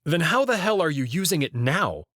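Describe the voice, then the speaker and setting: A man's voice: on the high side, big pitch swings, normal speed, a bit bright, no echo, clean studio sound. A man voicing a frustrated, incredulous young human abductee in a visual novel, questioning indignantly. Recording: clear music behind the voice.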